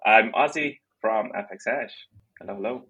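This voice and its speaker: Husky, low-voiced young man. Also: moderate pace, bright, forceful tone, highs cut off. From Netherlands. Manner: self-deprecating, jokey. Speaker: interview guest, art platform team member. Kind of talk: casual podcast conversation.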